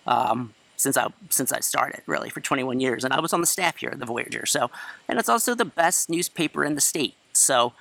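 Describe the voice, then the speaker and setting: A loud, self-assured male teacher in his forties talks casually through a class introduction. His voice is bright and forceful, at a middle pitch, recorded outdoors in a courtyard. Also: expressive, a little fast, gravelly, low-quality recording. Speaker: high school English teacher. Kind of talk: class introduction video lecture. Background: crickets and chirping.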